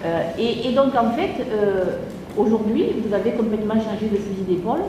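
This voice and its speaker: A woman's voice: mid-range voice, expressive, moderate pace. A French woman raising an objection in a council debate, hesitant, with many fillers and restarts. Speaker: council member asking a question. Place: large council chamber. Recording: echoey chamber recording with long reverb, noisy.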